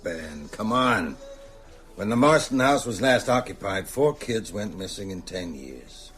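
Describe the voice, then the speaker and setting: A man's voice: lowish voice, sing-song, a little slow, fairly bright tone, faint music behind. An actor playing a sociable, shrewd older small-town man in an audio drama, conversational and probing. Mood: probing, uneasy. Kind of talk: naturalistic audio drama dialogue.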